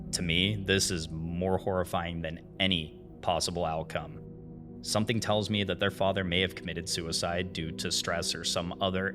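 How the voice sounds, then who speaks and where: A man delivers scripted video-essay narration in an earnest, dramatic way with informal asides. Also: low-pitched. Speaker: host of a horror series analysis video.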